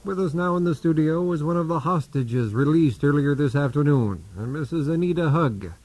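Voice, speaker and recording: An actor playing a self-important, earnest network news anchor in a satirical radio play, with formal, insistent questioning. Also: male voice, fairly high pitch, expressive, moderate pace, darkish tone. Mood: earnest, probing. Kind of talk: satirical radio theater, mock news interview.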